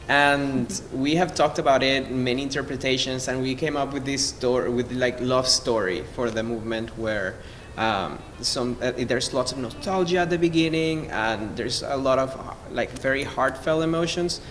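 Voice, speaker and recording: A man's voice: mid-range voice, a bit bright. Guy from venezuela, cellist, gushing about music but searching for words, big echoey hall, bit of noise. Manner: effusive, halting, searching for words. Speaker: cellist in a string quartet. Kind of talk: informal spoken introduction to music. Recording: noisy, long hall reverb.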